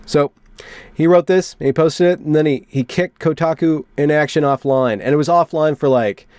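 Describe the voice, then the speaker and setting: Guy with a slightly high voice doing wry online commentary, really dry close sound. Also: moderate pace, darkish tone, smooth, no room sound. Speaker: video commentator and host. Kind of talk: commentary on internet culture, reading out a post and reacting.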